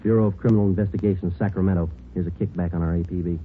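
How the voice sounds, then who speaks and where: Low, deadpan American police sergeant, acted by a man. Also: racing, a little hiss or hum, close to an everyday voice. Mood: matter-of-fact. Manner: deadpan, matter-of-fact. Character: terse, businesslike male police sergeant narrating his case. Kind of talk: 1940s radio crime drama acting, clipped narration. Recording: muffled vintage 1940s radio recording, music behind.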